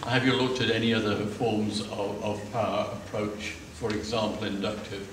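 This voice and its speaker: Loud questioner in a very echoey hall. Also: male voice, fairly low pitch, little pitch movement, normal speed, far from the mic, slight background noise. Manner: loud, pointed, cites figures. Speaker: audience member asking questions.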